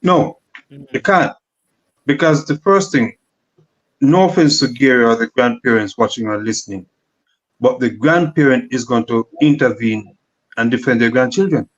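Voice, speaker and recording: A man's voice: medium pitch, moderate pace, lo-fi sound. A man on a discussion panel, speaking emphatically from his work experience and agreeing often. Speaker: panel guest.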